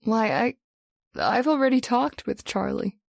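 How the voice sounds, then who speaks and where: Woman acting a creaky-voiced, concerned friend. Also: fairly high pitch, big pitch swings, unhurried, no echo, clean studio sound, exaggerated voice. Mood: hesitant. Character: concerned, reserved woman doubting her friend. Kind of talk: old-time radio drama acting.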